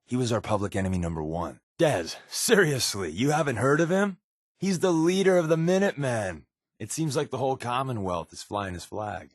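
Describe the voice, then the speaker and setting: A man voicing a wry, secretive spy companion in a video game, with casual, sardonic, deadpan quips. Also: mid-range voice, moderate pace, no room sound, studio quality, close to an everyday voice. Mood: impressed, probing. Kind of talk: game companion dialogue lines.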